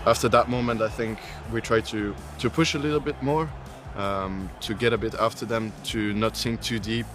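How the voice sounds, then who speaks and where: A man's voice: fairly low pitch, steady tone, moderate pace. A man, a footballer, talking casually in a documentary interview. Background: music.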